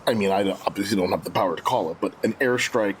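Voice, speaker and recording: A man's voice: low voice, fast, a little hiss or hum, low-quality recording. A man discussing plans casually as a player in a tabletop roleplaying game.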